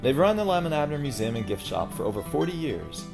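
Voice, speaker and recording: Lively male documentary narrator over guitar music. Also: a little high, quick pace, gravelly. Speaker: narrator and host of a history tour series.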